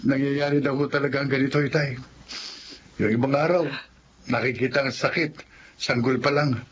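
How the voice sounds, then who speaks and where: A man's voice: medium pitch, steady tone, normal speed, muffled recording, slight background noise, close to an everyday voice. A man playing a worried, earnest husband and father in a Filipino radio drama. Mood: grave, worried.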